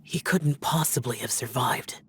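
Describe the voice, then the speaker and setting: A man voicing an aloof, ageless young immortal guardian in a video game, speaking gravely and in measured phrases. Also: mid-range voice. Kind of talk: English game dub cutscene acting.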